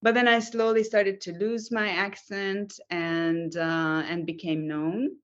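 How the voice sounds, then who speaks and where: A woman's voice: mid-range voice, fairly even intonation, takes it slowly. A woman, an actress and guest on a podcast, telling her story in an earnest, determined way and often restarting her sentences. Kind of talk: interview storytelling. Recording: dull lo-fi audio.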